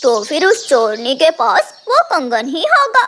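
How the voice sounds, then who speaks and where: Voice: high voice, huge pitch swings, unhurried, pushed and bright, heavily acted. An actor voicing a sly, self-righteous male crow in a cartoon, whining and indignant. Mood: indignant, lying. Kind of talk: animated cartoon dubbing.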